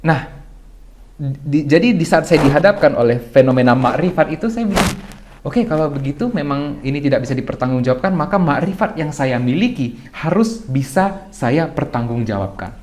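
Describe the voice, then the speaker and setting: A young adult man, a podcast guest, talks through ideas in a loose, reflective way, asking himself rhetorical questions and often clearing his throat. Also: fairly high pitch, expressive, normal speed, darkish tone, slight background noise. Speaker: guest speaker. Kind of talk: casual podcast discussion.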